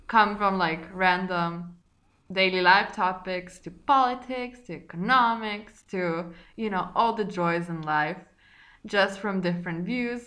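Co-host: young Polish woman, bright tone, laughs often, swears, mocks herself. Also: fairly low pitch. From Poland. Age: 20s. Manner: giggly, swearing, self-mocking. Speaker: podcast co-host. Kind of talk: casual chat.

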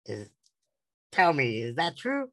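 A man's voice: on the high side, huge pitch swings, takes it slowly, pushed and bright. An eighteen-year-old man from San Diego hosting an interview, reading the introduction earnestly with restarts. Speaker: podcast host.

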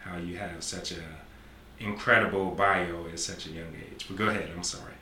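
A man's voice: deep voice, steady tone, moderate pace, sharp tone. A man in his forties hosting a podcast interview, talking casually and repeating affirmations. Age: 40s. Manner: repetitive, affirming, talkative. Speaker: podcast host. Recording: roomy sound with faint noise.

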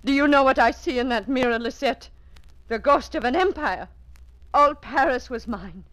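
A woman's voice: sits high, moderate pace, a bit bright, poor audio. An actress playing a vain, aging Parisian widow who grieves her lost beauty, in theatrical old radio-drama style. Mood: anguished. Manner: theatrical, breathy sighs, swings between despair and command. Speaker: lead actress.

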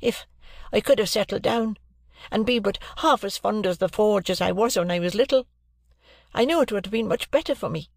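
An earnest, discontented young man, as a male reader plays him. He talks with impatience and longing, his voice pitched somewhat above the reader's usual register, recorded dry and close. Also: brisk, gravelly, dry recording. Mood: wistful.

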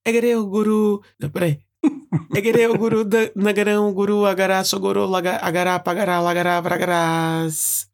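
A man's voice: sits high, little pitch movement, moderate pace. A man with a Brazilian accent hosting a casual chat, playfully humming garbled song lyrics for a guessing game and laughing often.

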